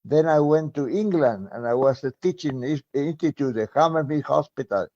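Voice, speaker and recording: This is a man of around ninety with an Argentine Spanish accent. His voice sits a little high for a man, and it comes through muffled and dull, as if over a remote line. He talks in a halting way, full of pauses and fillers, and chuckles often. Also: dark tone. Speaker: guest, retired medical professor. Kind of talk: conversational interview.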